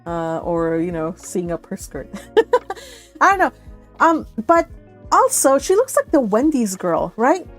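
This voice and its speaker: A millennial woman hosting a book read-along livestream, chatting casually with viewers in an animated, giggly, exclaiming way. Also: fairly high pitch, measured pace, faint music behind. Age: 30s to early 40s. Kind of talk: casual live chat with viewers.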